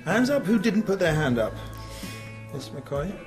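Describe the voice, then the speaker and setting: An actor playing a brisk schoolmasterly teacher in a radio play, didactic and emphatic. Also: male voice, sits high, sing-song, over music. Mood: brisk, quizzing. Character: brisk schoolmasterly philosophy teacher quizzing a class. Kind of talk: radio drama acting.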